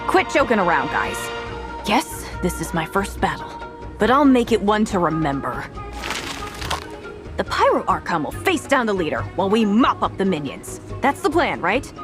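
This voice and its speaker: Brave young warrior woman, hugely varied pitch acted. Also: sing-song, moderate pace, lightly acted. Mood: nervous, determined. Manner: dramatic, resolute. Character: brave, nervous young female warrior in first battle. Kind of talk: video game story acting. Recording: game music behind.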